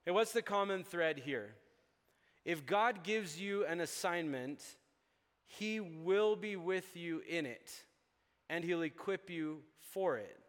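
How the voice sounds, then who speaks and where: A man's voice: high voice, slow. A man who grew up in Uganda, preaching a sermon and acting out dialogue with little chuckles. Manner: animated, acts out dialogue with chuckles. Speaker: pastor. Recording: long hall reverb.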